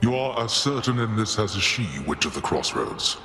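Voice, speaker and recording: A man playing a stern, weary god king of the underworld who speaks in a formal, commanding, measured way. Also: lowish voice, expressive, roomy sound, long reverb tail, loud music behind the voice, close to an everyday voice. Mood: grave, resigned.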